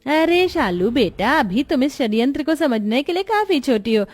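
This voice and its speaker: A woman's voice: high-pitched, sing-song, moderate pace. A woman voicing a sly neighbor aunt who turns children against their carer in a cartoon story. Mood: scheming. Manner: sly, coaxing. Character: gossiping scheming neighbor aunt.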